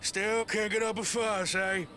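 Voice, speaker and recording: Casting: taunting game bully, high-pitched and slow, sneering, faint music behind. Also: male voice, little pitch movement, sharp tone. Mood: mocking. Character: mocking, taunting male frontier bully. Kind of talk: video game voice acting.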